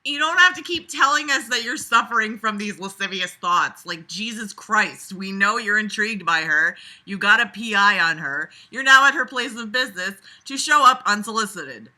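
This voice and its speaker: A woman hosts a read-aloud with a very bright, forceful voice. She moves between the text and her own casual commentary, which is sarcastic, exasperated and freely foul-mouthed. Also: medium pitch, moderate pace, few pauses, low-quality recording. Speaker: host reading a novel aloud with commentary.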